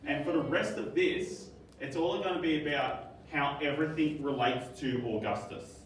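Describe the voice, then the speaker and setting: Casual aussie teacher quizzing his class, boomy room, distant mic. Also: normal speed, slight background noise. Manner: upbeat, jokey, quizzing students. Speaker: history teacher. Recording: echoey room from far off.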